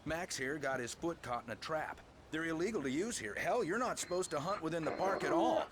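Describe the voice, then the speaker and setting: A man's voice: fairly high pitch, lively intonation, fairly quick, fairly bright tone, slight background noise. A man playing a friendly, easygoing, folksy park ranger in a video game, politely spoken. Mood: concerned.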